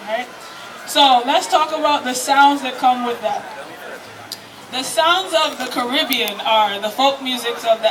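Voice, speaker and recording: A woman from Trinidad and Tobago giving a conversational, explanatory talk to a live festival audience. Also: fairly high pitch. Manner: conversational and explanatory, addresses the crowd. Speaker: festival presenter. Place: outdoor festival stage area. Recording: distant mic with noticeable background noise.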